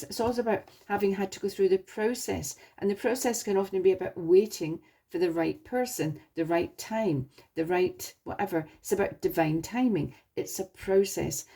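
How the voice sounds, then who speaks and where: A woman with a slightly hoarse voice from a sore throat, giving a reassuring tarot reading straight to the viewer and often restarting her phrases. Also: lowish voice, dark tone. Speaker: tarot reader.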